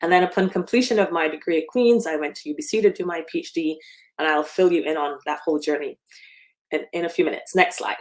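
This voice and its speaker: A woman's voice: deep voice, quick pace, rough recording. A woman in her fifties from Barbados, giving a remote slide presentation with frequent ums and self-corrections. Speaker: guest speaker. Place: speaking remotely from home or office.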